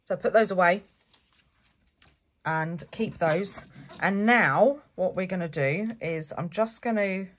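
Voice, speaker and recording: A woman talks through a craft project at her desk in a relaxed, chatty way. Her voice sits a little low and sounds stuffy from a cold, and she often corrects herself mid-sentence. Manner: chatty, self-correcting step-by-step explaining. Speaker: craft channel host. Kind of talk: relaxed craft tutorial narration. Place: home craft desk, indoors.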